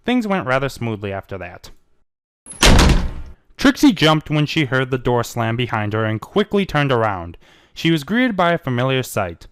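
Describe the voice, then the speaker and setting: Someone reading fanfic out loud as narrator, dramatic, pitch goes all over the place. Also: very animated, normal speed, clean tone. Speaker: amateur narrator of fan fiction.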